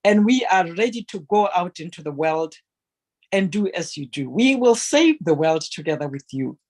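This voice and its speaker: A woman's voice: lowish voice, animated, normal speed, darkish tone. A woman giving a heartfelt testimonial to an online meeting, speaking in an earnest, emphatic and fervent way.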